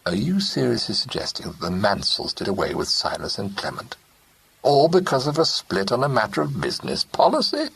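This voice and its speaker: A man voicing a calm, teasing young Englishman who speaks in a measured, dry way. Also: fairly low pitch, creaky, breathy edge, voice pushed up, heavily acted.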